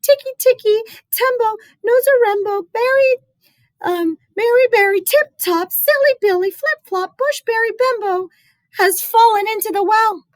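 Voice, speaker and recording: A woman's voice: extremely high pitch, measured pace, no echo, pitched above the performer's own voice. A woman voicing a frantic little boy crying for help in a storybook, urgent, breathless and pleading. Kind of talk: storybook read-aloud character voice.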